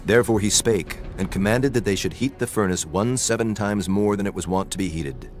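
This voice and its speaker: A man with a very low voice narrates scripture over a clear music bed. His telling is solemn and measured, like a scripted audio drama.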